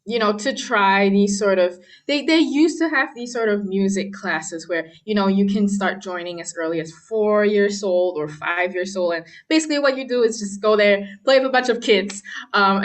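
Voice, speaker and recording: A young woman from Malaysia tells her story as an interview guest. She talks in a relaxed, chatty way, full of "um" and "you know", and laughs now and then. Her pitch sits in the middle range. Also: normal speed. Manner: chatty, many fillers, laughs often. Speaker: guest pianist. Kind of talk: casual interview talk.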